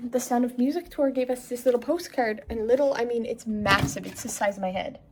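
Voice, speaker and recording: A woman's voice: fairly high pitch, mellow, slight background noise, rough recording. A woman in her twenties chatting casually to camera as a travel vlogger, self-mocking and tired at day's end. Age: 20s. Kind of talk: casual vlog chat to camera.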